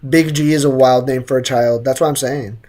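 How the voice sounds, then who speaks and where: Very low-voiced woman streaming a game. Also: steady tone, a little fast, dark tone. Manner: slangy, teasing, reactive. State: slightly sick throat. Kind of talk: casual live gameplay commentary.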